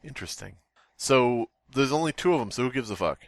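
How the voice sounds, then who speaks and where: A man's voice: mid-range voice, animated, a little fast. A man co-hosting a podcast, chatting casually and reading aloud with irreverent, sweary joking. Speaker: podcast co-host.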